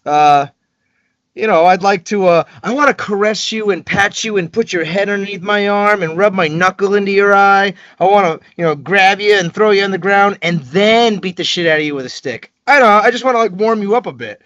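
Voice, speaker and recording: A man's voice: high voice, a little fast, fairly bright tone, no echo, muffled recording. A man talking loosely and profanely about wrestling on a video call, joking as he goes. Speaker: wrestler guest. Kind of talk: casual video call chat. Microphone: video call webcam.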